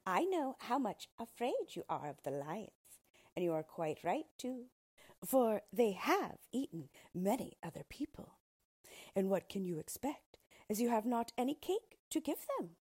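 A woman voicing an ugly, boastful male dwarf who talks in a smug, disdainful, drawn-out way, in a storybook reading. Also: on the high side, big pitch swings, a little mellow, slightly rough, voice pushed up, exaggerated voice.

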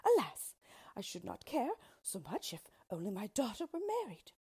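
A woman's voice: medium pitch, huge pitch swings, moderate pace, warm tone, husky, dry recording, clean studio sound, pitched above the performer's own voice, heavily acted. A woman voicing a frightened, pleading storybook queen with a wailing, melodramatic delivery. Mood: despairing. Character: frightened, pleading fairytale queen, anxious mother. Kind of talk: storybook character reading.

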